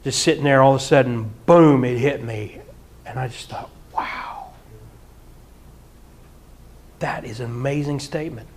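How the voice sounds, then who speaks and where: A middle-aged man preaching a sermon in a church hall, animated and often breaking into mock singing and laughter. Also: medium pitch, moderate pace. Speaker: pastor. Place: church hall with projector screen.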